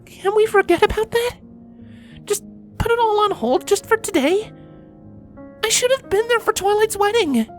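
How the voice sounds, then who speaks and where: A man's voice: extremely high pitch, animated, moderate pace, pauses often, soft background music, voice pushed up. A man voicing a sulking female pony princess, whining and mumbling through a fan fiction story. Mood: pleading.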